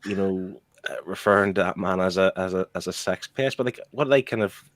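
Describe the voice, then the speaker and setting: Low-voiced man, close and dry. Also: brisk, no room sound. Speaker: podcast book club host. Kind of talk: casual comic book discussion.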